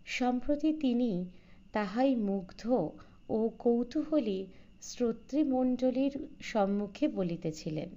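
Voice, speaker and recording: A woman narrating a literary short story in a measured, formal storytelling manner. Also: on the high side, lively intonation, dark tone, dull audio. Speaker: audiobook narrator.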